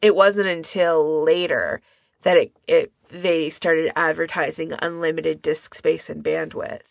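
A woman's voice: low voice, fairly even intonation, unhurried, creaky, no echo, clean studio sound. A woman co-hosting a podcast in casual discussion, talking tentatively and carefully qualifying what she says. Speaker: podcast co-host.

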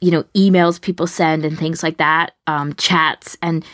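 A woman's voice: low-pitched, steady tone, unhurried, a bit bright, dry recording, studio quality. A woman co-hosting a podcast, explaining things in a casual, conversational way. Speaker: podcast co-host.

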